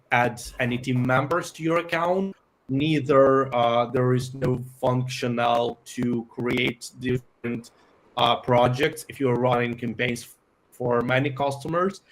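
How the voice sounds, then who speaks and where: A man from Ukraine, a native Ukrainian speaker, answering interview questions about his company with many pauses and fillers. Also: mid-range voice, rough recording. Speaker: software company founder.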